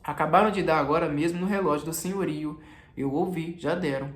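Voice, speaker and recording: A man's voice: a little high, a little fast, natural voice. A man voicing a timid, anxious, compassionate young woman in an audiobook, hesitant and repeating words. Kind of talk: audiobook character reading. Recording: echoey room.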